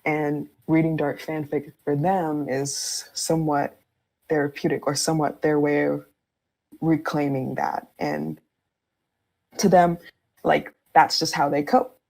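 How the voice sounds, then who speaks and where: A woman's voice: low voice, level tone, a little slow, vocal fry, a little mellow. A woman speaking candidly and informally on a podcast, explaining her personal views in a casual conversational style.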